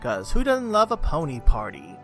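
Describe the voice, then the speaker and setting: A man's voice: on the high side, wildly swooping pitch, moderate pace, fairly bright tone. A man reading a scripted review as a video reviewer. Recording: music under the voice. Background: background music.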